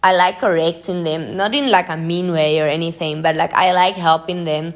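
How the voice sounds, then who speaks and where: Low-pitched young woman, native Spanish speaker, podcasting. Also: fairly even intonation, normal speed. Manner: chatty, informal, frequent use of "like". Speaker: podcast host. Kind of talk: informal solo podcast, part read from notes, part ad-libbed.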